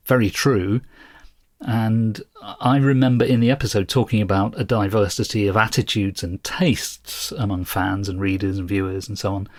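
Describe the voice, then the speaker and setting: A man with a fairly low voice talks casually as a podcast host. His delivery is measured and thoughtful, and the sound is dry and close. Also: no room sound.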